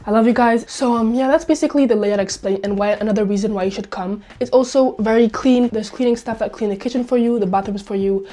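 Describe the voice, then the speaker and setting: A woman's voice: on the high side, fast, few pauses, warm tone. Chatty young Spanish woman getting over a cold. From Spain. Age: young adult. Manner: chatty, upbeat, lots of "like". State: recovering from a cold. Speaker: vlogger. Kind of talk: casual vlog talk to camera. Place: small dorm room, indoors.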